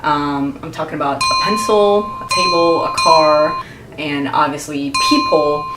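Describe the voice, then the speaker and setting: A woman, a Portuguese speaker, explaining her views to camera in a thoughtful, earnest way and pausing to search for words. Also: sits low, steady tone, distant mic, loud music behind the voice. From Brazil. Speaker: vlogger. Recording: roomy echo, mic set back.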